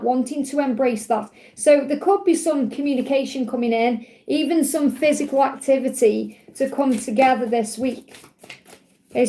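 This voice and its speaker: High-voiced woman giving a tarot reading. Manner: gentle, repetitive, reassuring guidance. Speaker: tarot reader.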